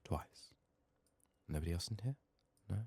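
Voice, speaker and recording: A man speaking softly and sometimes whispering, muttering his thoughts aloud as he commentates a game for ASMR. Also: deep voice, expressive, unhurried, creaky, husky. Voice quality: hushed, soft, sometimes slipping into whisper. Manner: muttering, thinking out loud. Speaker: gaming video host. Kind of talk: soft-spoken ASMR game playthrough commentary.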